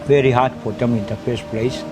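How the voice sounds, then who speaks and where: Casting: male priest, Kiribati accent, testimonial delivery with frequent "eh?" tags, mid-pitched, set over a music bed. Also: steady tone, a little fast. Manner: often ends phrases with "eh?". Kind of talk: personal testimony to camera in a mission film.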